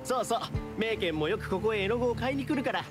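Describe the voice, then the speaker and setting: A man voicing a chatty, excitable bandit in an anime-style game, eager and slangy. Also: high voice, fast talker. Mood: chummy. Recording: game music behind the voice.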